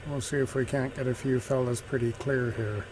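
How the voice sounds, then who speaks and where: A man giving casual, unhurried, musing commentary as he demonstrates radio listening as a hobbyist host. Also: mid-range voice, flat delivery. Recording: clear radio static and noise. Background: shortwave radio hiss and chatter.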